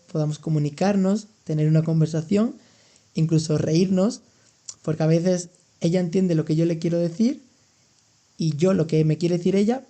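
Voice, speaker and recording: Guy, young, chill language podcast, explains stuff slowly w examples, really mellow voice. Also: fairly high pitch. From Andalusia, Spain. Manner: explains patiently with examples, laughs easily. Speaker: podcast host and language teacher. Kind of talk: casual educational chat.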